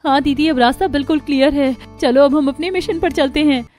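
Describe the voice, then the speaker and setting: A woman as a high-voiced, sneaky young daughter-in-law. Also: fairly quick, a little mellow, soft background music, close to an everyday voice. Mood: gleeful, conspiratorial. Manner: conspiratorial, chatty. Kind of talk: Hindi family cartoon dialogue.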